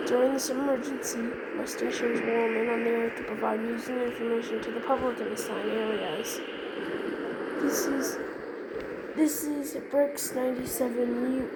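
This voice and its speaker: A woman reading a scripted mock emergency alert as a broadcast announcer, sometimes halting and repeating words. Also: a little high. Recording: noisy recording with steady background noise.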